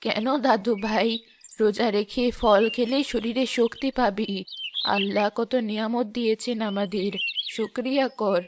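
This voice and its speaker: A woman voicing a kindly, pious grandmother in a cartoon who speaks calmly. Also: fairly high pitch, measured pace, background noise, close to an everyday voice. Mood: gentle. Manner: calm, kindly and teaching. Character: gentle, pious wealthy grandmother.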